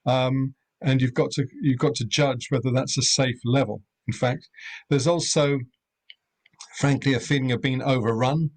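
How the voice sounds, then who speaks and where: A middle-aged man from Europe talks at a measured, unhurried pace. He pauses often and fills the gaps with ums and uhs. His voice sits at an ordinary middle pitch. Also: steady tone, darkish tone, rough recording. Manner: measured, hesitant, many fillers. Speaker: rural accommodation owner. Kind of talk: webinar panel talk.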